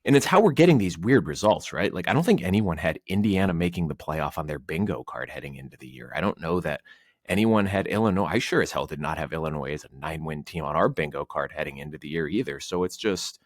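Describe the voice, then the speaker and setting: A man's voice: low-pitched, lively intonation, quick pace, dry recording, clean studio sound. A man guesting on a sports podcast, talking through college football analysis in a rambling way, with plenty of fillers. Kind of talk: casual sports talk.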